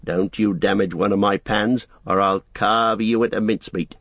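A man's voice: lowish voice, steady tone, moderate pace, fairly bright tone, no echo. A man voicing a plain-spoken, bossy but loyal hobbit in an audiobook, with blunt, homely, rustic speech. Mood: bossy, brisk.

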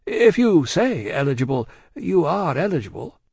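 Man playing a fussy, cautious old banker, lilting pitch. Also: big pitch swings, creaky, a little mellow, slightly rough, dry recording, clean studio sound, heavily acted. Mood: placating. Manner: hesitant, courteous, measured, fussy. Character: discreet, anxious elderly male bank man, polite and cautious. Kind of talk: acted audiobook dialogue from a classic novel.

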